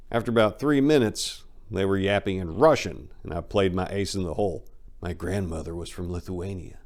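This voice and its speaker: A man giving a dramatic reading of a first-person memoir in a brash, swaggering storytelling manner. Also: fairly low pitch. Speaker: narrator of a memoir.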